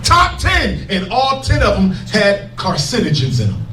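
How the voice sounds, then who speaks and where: A man's voice: sits high, wildly swooping pitch, unhurried, bright, forceful tone, faint music behind. Fiery preaching man in an echoey hall. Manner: preaching, emphatic, jokes with the crowd. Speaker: speaker addressing an audience. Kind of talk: fiery public lecture. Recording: echoey hall recorded from a distance.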